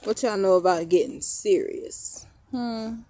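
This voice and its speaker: A woman in her late thirties chatting casually to viewers in a vlog, laughing often, recorded outdoors on her phone in a quiet park. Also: medium pitch, unhurried, slight background noise. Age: 30s. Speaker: vlogger.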